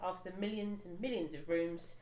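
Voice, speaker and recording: A woman casually recapping a tabletop role-playing campaign for the group, pausing often with fillers and restarts. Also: on the low side, a little mellow. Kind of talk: casual chat around a tabletop game. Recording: echoey room, faint noise.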